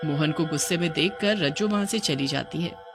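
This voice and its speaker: A woman's voice: deep voice, fairly quick, soft background music. A woman narrating a scripted cartoon story.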